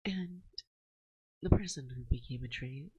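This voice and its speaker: A woman playing a playful, dominant forest witch in an ASMR roleplay, speaking softly and breathily close to a binaural mic, often slipping into a whisper, teasing and coaxing. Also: very deep voice, husky, no room sound, dull audio, heavily acted. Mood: playful, musing. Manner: slow, teasing, coaxing, with musing pauses. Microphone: close binaural microphone.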